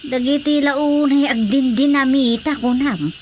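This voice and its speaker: A woman's voice: sits high, unhurried, slight background noise. An actress playing a concerned, practical fisherman's wife in a radio drama, anxious and homely.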